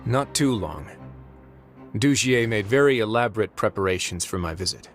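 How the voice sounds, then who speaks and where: An actor playing a calm, shrewd male duke in a video game, speaking in a measured, deliberate way with dry humor. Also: lowish voice, soft background music.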